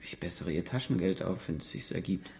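A guarded, defiant uncle in a radio drama. His voice is on the low side. He gives curt, evasive answers under questioning and keeps the delivery naturalistic. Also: lively intonation, normal speed, vocal fry, a little hiss or hum.

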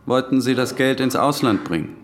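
An actor playing a brusque, officious police official of the Nazi era, curt and interrogating, in a German radio drama. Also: male voice, medium pitch, lively intonation, moderate pace, slight background noise, natural voice. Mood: suspicious, officious.

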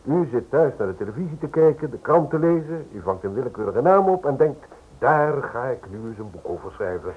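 Radio drama lawyer: dismissive and sceptical, medium pitch, rough-edged voice, muffled vintage recording. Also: male voice, big pitch swings, a little fast. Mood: scornful. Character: brusque, cynical male lawyer with wealthy clients. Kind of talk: Dutch radio drama acting.

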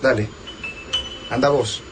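A man's voice: mid-range voice, fairly even intonation, slow pace, background noise, close to an everyday voice. Cocky, flirtatious Argentine man played by an actor. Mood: flirtatious, tired. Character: cocky, flirtatious male resistance leader. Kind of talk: radio drama acting.

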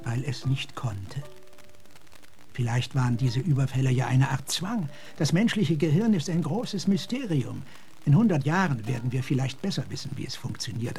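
A thoughtful, earnest gentleman officer with a mellow, soft-edged voice. He speaks in measured, reflective phrases over music, as in a radio play. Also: mid-range voice. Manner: measured, reflective, sometimes hushed. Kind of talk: German radio drama acting.

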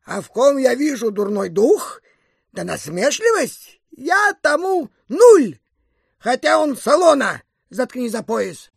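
An actor playing a strict, petty, self-important schoolteacher in an audio drama, with a rasping, scolding, moralizing delivery. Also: high voice, big pitch swings, slow pace, no room sound, clean studio sound, full character voice. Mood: contemptuous preaching. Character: strict, petty schoolteacher who hates clever boys. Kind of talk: audio drama character acting.

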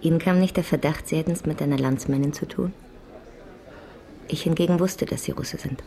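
Radio play: young émigré widow, low register, composed, forward, enigmatic. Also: female voice, fairly quick, mellow, slight background noise. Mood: teasing, composed. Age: young adult. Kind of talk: radio drama acting.

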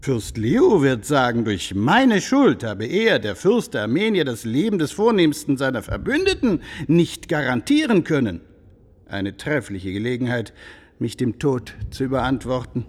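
An actor playing a wily, ingratiating medieval lord in a radio drama, speaking in a courtly, insinuating, theatrical way. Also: male voice, medium pitch, big pitch swings, normal speed. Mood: pleading, ingratiating. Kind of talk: German radio drama acting. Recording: long reverberant hall.

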